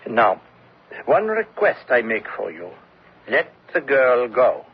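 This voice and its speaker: An actor playing a gentle, thoughtful German lieutenant in a radio drama, reasoning earnestly. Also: male voice, mid-range voice, sing-song, slow, slightly rough, exaggerated voice. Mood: troubled. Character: conscience-troubled German army lieutenant, gentle, thoughtful, male. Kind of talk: old-time radio drama acting. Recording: dull lo-fi radio broadcast recording.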